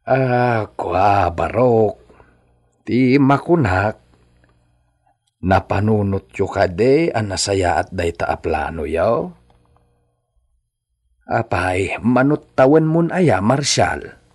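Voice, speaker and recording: An actor with a Filipino Ilocano accent playing a cautious, deliberate father of a grown daughter in a radio drama. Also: lowish voice, animated, a little mellow, husky, heavily acted. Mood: cautious, hesitant.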